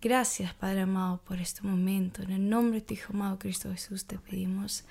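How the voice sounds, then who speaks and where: A woman co-hosting a podcast, leading a spoken prayer with soft, repeated invocations. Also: mid-range voice, little pitch movement, moderate pace, gravelly. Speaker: podcast co-host.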